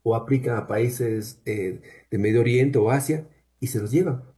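A man with a Cuenca, Ecuador accent, speaking in a warm, mellow voice at a middling pitch. He answers as a researcher in a measured, explanatory way, pausing often to gather his thoughts. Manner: measured, explanatory, with frequent "eh" pauses. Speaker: university researcher, guest. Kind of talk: conversational interview answers.

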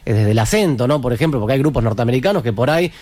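A man's voice: medium pitch, lively intonation, rapid-fire, a little mellow, dry recording. A man with an Argentine accent, a musician answering interview questions with explanatory anecdotes and frequent tag questions that seek agreement. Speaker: musician in a tribute band, interview guest.

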